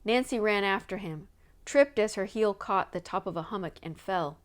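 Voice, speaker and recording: A woman's voice: mid-range voice, normal speed. Woman reading a storybook aloud. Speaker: audiobook reader. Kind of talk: scripted storybook narration.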